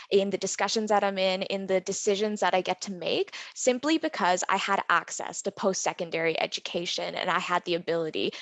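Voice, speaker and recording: Canadian-accented woman, workshop presenter, earnest and explanatory, gravelly tone, dry close mic. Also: mid-range voice, no room sound. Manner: earnest and explanatory, some fillers. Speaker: workshop facilitator.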